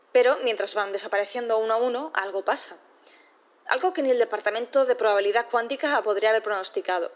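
An actress playing an embittered, envious robot on trial, giving a measured, deliberate confession in an audio drama. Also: female voice, on the high side, muffled recording, lightly acted. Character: embittered robot defendant, envious, yearning to be human. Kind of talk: dramatic courtroom monologue in audio fiction.

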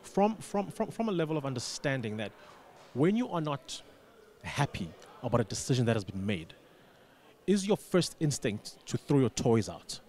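A male studio guest gives explanatory answers in a radio interview. He is deliberate, often repeating a word or phrase while he forms the next point. His voice has an average male pitch. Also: very animated, darkish tone.

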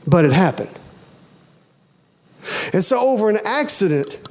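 A male preacher in his forties speaks in a notably high register for a man. His delivery is folksy and emphatic, hammering phrases through repetition. He talks straight to his congregation, questioning and urging them. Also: big pitch swings, measured pace, darkish tone. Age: 40s. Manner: folksy, colloquial, emphatic, repeats phrases for stress; addresses listeners directly with questions and urgings. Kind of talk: sermon to a congregation.

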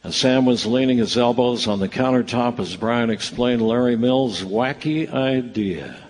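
Measured male narrator in reverberant hall. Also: mid-range voice. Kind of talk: scripted radio play narration, storytelling. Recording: live stage with long reverb.

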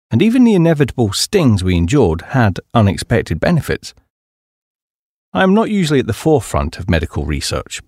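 A man's voice: on the low side, a little mellow, no echo, studio quality. A middle-aged British man reading a humorous memoir as an audiobook, with a wry storytelling delivery. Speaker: audiobook narrator.

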